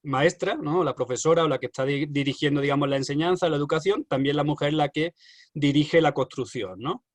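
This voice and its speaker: A man's voice: on the high side, a little fast, dry recording. A man giving an online university lecture over a video call, hesitant, with many fillers and restarts.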